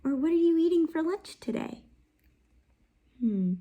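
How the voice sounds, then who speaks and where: A woman hosting a children's read-aloud, reading a picture book expressively, pausing to ask her listeners questions and sometimes dropping to a soft whisper, close to the mic. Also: very high voice, expressive.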